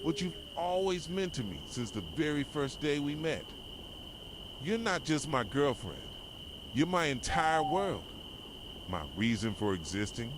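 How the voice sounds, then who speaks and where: A man playing a possessive, wounded yandere boyfriend in an intense, pleading ASMR roleplay monologue, with a soft voice. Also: fairly high pitch, sing-song, unhurried. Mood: pleading, desperate. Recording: noisy track with night crickets and owls. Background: night ambience of crickets, owls and insects.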